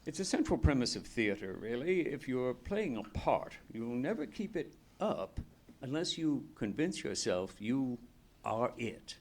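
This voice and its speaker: A man's voice: mid-range voice, sing-song, unhurried, darkish tone. A man playing a hearty, shrewd British police inspector in a live radio play, talking in an expansive, knowing way. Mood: knowing, explanatory. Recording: live theatre stage, faint noise.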